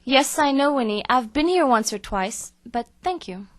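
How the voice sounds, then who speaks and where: Imperious Hollywood agent, female, high voice, brusque and superior, satirical radio play. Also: animated, moderate pace, no echo. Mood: cool. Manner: haughty, brusque. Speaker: actor. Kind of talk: satirical audio drama acting.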